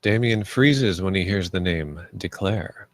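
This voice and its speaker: A man's voice: deep voice, unhurried, no echo. A man reading stage directions aloud as scripted narration.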